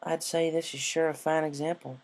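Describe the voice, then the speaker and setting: A man's voice: a little high, flat delivery, brisk, pitched above the performer's own voice, lightly acted. A man voicing a plain-spoken female farm pony in a storybook reading, concerned and chiding in a folksy way. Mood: half-joking, fond.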